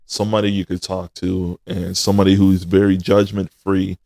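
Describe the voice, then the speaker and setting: A man in his twenties hosting a casual podcast, talking in a slow, reflective way and addressing listeners as y'all. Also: sits low, completely flat delivery, vocal fry, darkish tone, dry recording. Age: 20s.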